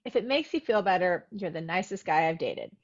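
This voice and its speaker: A woman's voice: fairly low pitch, lively intonation, brisk. A woman playing a guarded, cool young love interest, understated, in a table read with dull, lo-fi sound. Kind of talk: amateur screenplay table read.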